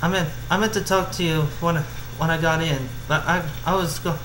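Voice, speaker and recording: A man voicing an excitable, earnest young female pony from a cartoon fan story, pleading and breathless. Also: on the high side, normal speed, pitched above the performer's own voice, natural voice. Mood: pleading, flustered. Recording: roomy echo, clear background noise.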